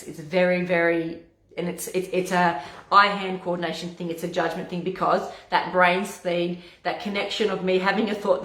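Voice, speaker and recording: A woman naturopath with a hoarse, husky voice, chatting casually to camera and often stumbling over and restarting her words. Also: on the low side, a bit bright. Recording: echoey room.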